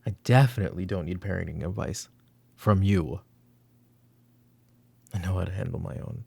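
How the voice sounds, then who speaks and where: A man playing a weary, short-tempered mafia boss father in a roleplay audio drama, with a gravelly, husky voice and a commanding, curt manner. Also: deep voice, moderate pace, dry recording, exaggerated voice. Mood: stern, weary. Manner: commanding, curt, slipping into hushed muttering. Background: background music.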